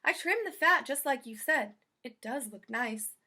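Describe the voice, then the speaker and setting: A woman's voice: sits high, lively intonation, a little slow, pushed and bright, breathy edge, pitched above the performer's own voice, heavily acted. A woman voicing a cheerful, literal-minded storybook housemaid who is earnest and eager to please. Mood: proudly helpful. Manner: earnest, guileless, chirpy. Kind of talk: children's storybook character voice.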